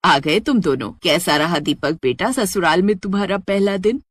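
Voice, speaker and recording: A woman's voice: on the low side, normal speed, breathy edge, no room sound, clean studio sound. A woman voicing a kindly, indulgent older mother in a Hindi cartoon family drama. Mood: welcoming. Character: kindly, welcoming older mother of a married son.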